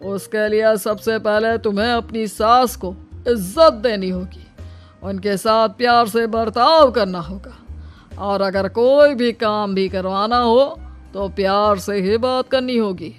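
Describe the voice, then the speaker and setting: Slow, gently wise holy man voiced by a woman. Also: medium pitch, soft background music, lightly acted. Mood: reassuring, instructing. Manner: slow, measured, counselling. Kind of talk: animated moral story acting.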